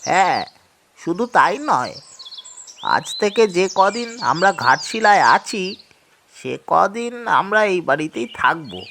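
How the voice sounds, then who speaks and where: A man's voice: high-pitched, very animated, slow pace, gravelly, sharp tone, slight background noise, voice pushed up, heavily acted. A man voicing an eager, confident young man, emphatic and insistent, in a horror audio drama.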